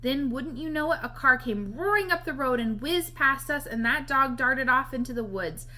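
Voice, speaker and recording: Bright, high-voiced woman reading a story. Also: expressive, moderate pace. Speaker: read-aloud reader. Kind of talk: expressive storybook narration.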